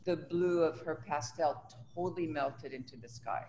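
A woman's voice: low voice, moderate pace, dark tone. Older woman in a reverberant room, reminiscing. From Tunisia. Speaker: artist being interviewed. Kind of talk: conversational personal recollection. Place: indoors in an art studio. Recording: reverberant room, faint background noise.

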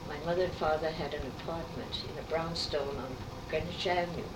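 A woman in her eighties, raised speaking French, telling her life story in an unhurried, reminiscing way for an oral history interview. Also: sits low, fairly even intonation. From New York City. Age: 80s. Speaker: artist interviewee. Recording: roomy, distant, noisy tape.

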